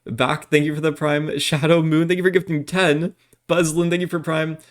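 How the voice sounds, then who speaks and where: A man's voice: a little high, normal speed. A male streamer chatting while he plays and reading out thanks to his viewers one by one. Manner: reads out thanks for subscriptions in a flat list. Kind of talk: casual gaming livestream chat.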